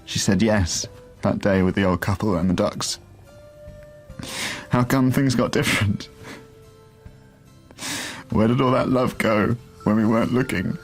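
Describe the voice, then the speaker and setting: A man playing a gentle, halting young father, lovelorn and bewildered, in a naturalistic radio drama. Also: lowish voice, faint music behind, natural voice. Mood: wistful, bereft. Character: lovelorn, bewildered father putting on cheer for his daughter. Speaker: actor.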